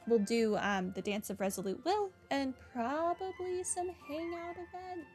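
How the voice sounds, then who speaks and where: A woman's voice: high voice, measured pace, darkish tone. A woman game streamer giving casual commentary on a playthrough. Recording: game music behind the voice.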